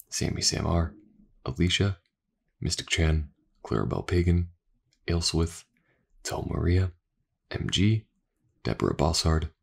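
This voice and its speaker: A man's voice: sits low, creaky, slightly rough. A man, an ASMR voice actor, casually thanking his listeners in an outro.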